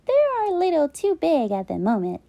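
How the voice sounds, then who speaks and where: Woman voicing a cheerful little girl, high and swooping. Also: sing-song, fairly quick, creaky, dark tone, highs cut off, exaggerated voice. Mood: cheerful, grateful. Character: cheerful little birthday girl writing thank you letters. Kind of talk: children's storybook read-aloud.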